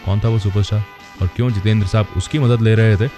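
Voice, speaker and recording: कम पिच वाली आवाज़ में पुरुष रेडियो होस्ट. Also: male voice, fairly low pitch, fairly even intonation, fast talker, over music. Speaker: radio show host. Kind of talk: conversational radio storytelling, introducing old film songs.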